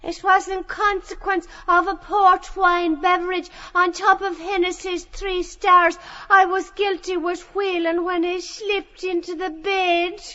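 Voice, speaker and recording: A woman's voice: very high voice, level tone, measured pace, few pauses, pushed and bright, lo-fi sound, full character voice. An actor playing a brazen fallen young woman, bluntly confessing in an audiobook drama. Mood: brazen confession.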